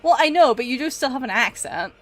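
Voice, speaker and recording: A woman's voice: high-pitched, expressive, moderate pace. Giggly woman streamer with Canadian-leaning accent, music behind. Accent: mixed Canadian-leaning North American. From Edinburgh, Scotland. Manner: giggly, chatty. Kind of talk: casual livestream chat. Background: background music.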